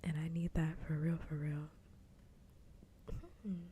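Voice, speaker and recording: A woman chatting casually in a soft, breathy whisper, close to the microphone in ASMR style. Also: level tone, slow. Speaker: livestreamer, ASMR host. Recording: faint low rumble noise.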